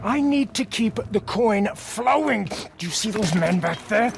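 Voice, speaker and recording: A wry mercenary captain. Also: male voice, high voice, expressive, a little slow, gravelly, full character voice. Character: shrewd coin-minded male mercenary captain. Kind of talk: video game cutscene acting. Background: game score music.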